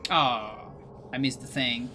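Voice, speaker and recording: Chilean-accented male streamer, native Spanish speaker, crisp and bright, chatty, restarts his sentences often. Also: mid-range voice, slow pace, slight background noise. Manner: chatty, musing aloud, frequent restarts. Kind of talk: casual chat with viewers while gaming.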